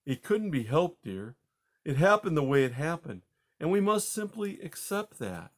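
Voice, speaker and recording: A man voicing a calm husband who soothes his wife in a measured, firm, somewhat patronizing way. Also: fairly high pitch, pitched above the performer's own voice. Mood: soothing.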